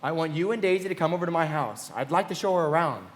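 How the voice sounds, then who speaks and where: A man playing a wealthy young gentleman in a live radio play, lovestruck and eager to impress. The voice is a little high, with a genteel, theatrical delivery. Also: expressive, fast, lightly acted. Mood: proud, genteel.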